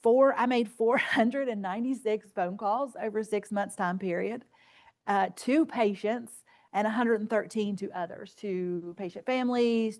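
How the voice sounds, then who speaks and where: A woman's voice: mid-range voice, darkish tone. A woman presenting at a healthcare conference, telling patient stories and results in an earnest, informal, plain-spoken way. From rural area.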